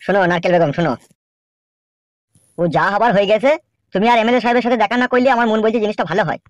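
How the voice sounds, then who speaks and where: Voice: mid-range voice, rapid-fire, no echo, lo-fi sound, close to an everyday voice. A voice actor playing a naive, nosy, crude village man in a comedy cartoon, talking in a familiar, inquisitive way. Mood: curious. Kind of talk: amateur Bengali comedy cartoon dialogue.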